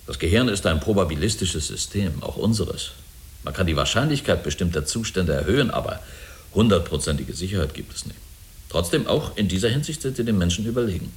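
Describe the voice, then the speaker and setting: An actor in a German radio drama playing a self-assured company representative who explains things smoothly. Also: male voice, low-pitched, expressive, a little fast. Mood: matter-of-fact. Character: assured male company representative selling humanlike androids.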